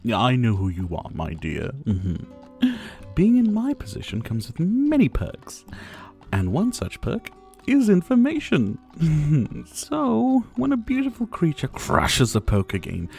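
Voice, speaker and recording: A man's voice: on the high side, wildly swooping pitch, a little slow, vocal fry, mellow, soft background music, full character voice. A man acting a flirtatious, possessive dictator in an ASMR roleplay, with a theatrical, teasing, menacing delivery.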